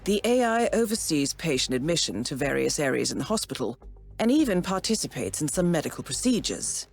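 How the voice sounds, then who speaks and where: Composed woman handler, expressive pitch. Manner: measured, formal, articulate. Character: composed, steely female intelligence-agency handler, guarded and defiant. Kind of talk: video game briefing and cinematic dialogue.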